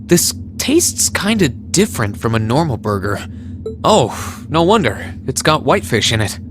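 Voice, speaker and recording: A man's voice: mid-range voice, sing-song, normal speed, music in the background, lightly acted. An actor playing an earnest, brooding young male academy student in a video game, serious and insistent. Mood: pleasantly surprised. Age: young adult.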